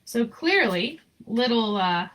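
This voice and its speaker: A woman reading a children's picture book aloud in an expressive, informal way with chatty asides. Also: fairly high pitch, slow. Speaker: story reader.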